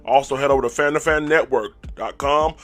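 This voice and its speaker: Hoarse male fan-show host, casual, hyped, clears throat often, mid-pitch. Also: brisk, fairly bright tone. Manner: hype call-and-response, throat clearing. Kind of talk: casual sports fan recap.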